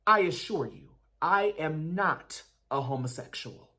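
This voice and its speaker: A woman's voice: sits low, animated, unhurried, bright, forceful tone, exaggerated voice. A woman playing a brainy, awkward schoolboy in a dramatic reading, speaking formally and pedantically. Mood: formal, matter-of-fact. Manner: pedantic, precise, formal wording.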